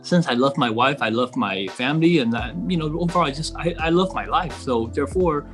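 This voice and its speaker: A middle-aged man from Taiwan speaking as a podcast guest in casual conversation, earnest and halting, rephrasing as he goes. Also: medium pitch, expressive, music in the background.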